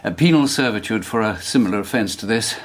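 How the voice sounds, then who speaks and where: An actor playing a brisk, commanding Victorian police inspector in a radio drama, dry in manner. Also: male voice, on the low side, close to an everyday voice. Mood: measured.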